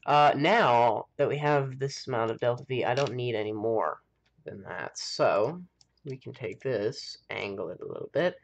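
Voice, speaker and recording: American guy streaming a game, mid-pitched voice, just rambling and thinking out loud to chat. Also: moderate pace, vocal fry, muffled recording. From United States. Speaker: live streamer hosting a gaming stream. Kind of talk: casual chat while playing a game.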